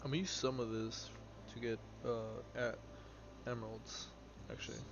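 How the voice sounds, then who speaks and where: A man in his twenties, a live streamer chatting casually and haltingly as he plays and answers viewer questions. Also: mid-range voice, little pitch movement. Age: 20s. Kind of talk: casual chat while playing a game. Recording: dull lo-fi stream audio with noise.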